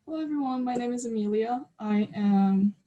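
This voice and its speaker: A woman's voice: fairly high pitch, normal speed, mellow, lo-fi sound. A woman in her early twenties, from China and raised partly in eastern Canada, speaking informally as a student panelist. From China, then eastern Canada from age ten. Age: early 20s. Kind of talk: informal self-introduction on a panel.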